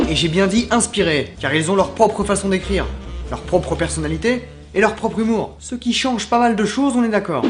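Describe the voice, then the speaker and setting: Fast-talking sarcastic male ranter. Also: high-pitched. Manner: vulgar, sarcastic, mocking. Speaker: YouTube commentator. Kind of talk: scripted comedic rant. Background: background music.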